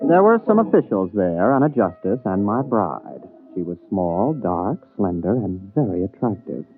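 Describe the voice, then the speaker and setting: A man's voice: sits low, big pitch swings, moderate pace, faint music behind. An actor playing a plain-spoken, wry rocket pilot captain, a somewhat flustered everyman hero in a 1950s radio drama. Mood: wry narration. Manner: hesitant, casual, wry. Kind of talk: 1950s radio drama acting with first-person narration. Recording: muffled, narrow 1950s radio broadcast.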